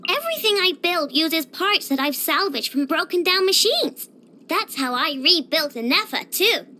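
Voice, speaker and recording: Casting: a tiny girl tinkerer for a game, very high and bright, childish, dramatic, bossy. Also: big pitch swings, moderate pace, exaggerated voice. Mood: proud. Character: excitable, sweet-toothed little girl robot inventor. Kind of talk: video game character voice lines.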